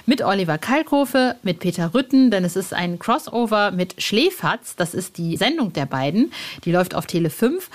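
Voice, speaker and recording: A woman presenting a podcast in a chatty, excited, informal way, sprinkling in slang and English phrases. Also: mid-range voice. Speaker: podcast host.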